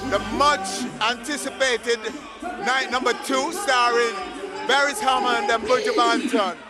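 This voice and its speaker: A man presenting festival highlights as a commentator over music. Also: steady tone, unhurried, a loud crowd. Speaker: event presenter. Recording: loud music under the voice. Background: loud music.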